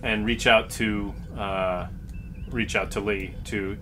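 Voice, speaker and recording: A low-pitched man lays out his character's plan in a tabletop game, stopping and restarting often. Steady background noise sits behind him. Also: slow. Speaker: player voicing the ship's captain.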